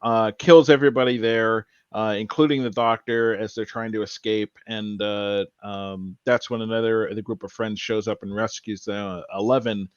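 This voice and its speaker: A man's voice: fairly low pitch, steady tone, moderate pace, few pauses, clean tone, no echo, dull audio. A man chatting casually as a podcast panelist, recapping a story in an informal way.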